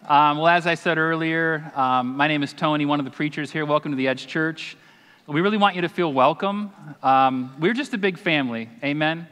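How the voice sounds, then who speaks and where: A man's voice: a little high, normal speed, a bit bright, clear, resonant tone. A man in his forties preaching and praying on a church stage, earnest and emphatic, with asides to the congregation. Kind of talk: sermon with scripture reading and prayer. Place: church stage, large hall. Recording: long reverb of a large hall.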